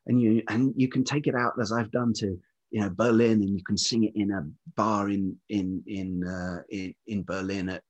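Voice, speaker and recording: A man's voice: fairly low pitch, fairly even intonation, moderate pace, a little mellow, dull audio. A middle-aged English man with a velvety voice, talking reflectively and hesitantly as an interview guest, searching for his words. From England. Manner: hesitant, thoughtful, repeats words while searching. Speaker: podcast guest, writer and musician.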